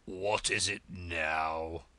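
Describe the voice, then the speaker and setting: A man voicing a very old male frog who talks in a slow, hoarse, whispery drawl. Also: sits low, fairly even intonation, bright, forceful tone, no echo, pitched below the performer's own voice, full character voice. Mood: grumpy.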